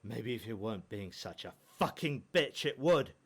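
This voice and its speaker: A man's voice: medium pitch, animated, normal speed. A man playing a bitter, vicious captive in withdrawal in an audio drama, snarling threats and profanity. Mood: bitter, angry. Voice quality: gravelly.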